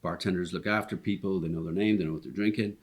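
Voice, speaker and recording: A man's voice: low-pitched, fairly even intonation, fast talker, husky. A man in his fifties with a Dublin Irish accent, a restaurant owner telling his story in an easygoing, rambling way with plenty of ums. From Ireland. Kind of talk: casual interview chat. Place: inside one of his restaurants.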